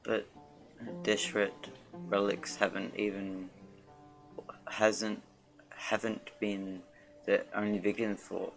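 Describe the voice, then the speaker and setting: A male game streamer reading dialogue choices aloud in a halting way. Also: fairly low pitch, level tone, gravelly, soft background music, rough recording.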